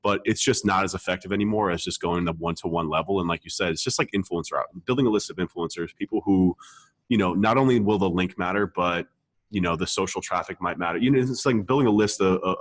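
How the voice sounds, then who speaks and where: A man's voice: low-pitched, fast, no echo. A man hosting a podcast, talking casually and emphatically, repeating points for stress and checking in with the listener. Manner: emphatic, repetitive, casual swearing, frequent check-in tags. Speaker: podcast host, marketer. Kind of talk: casual conversational advice.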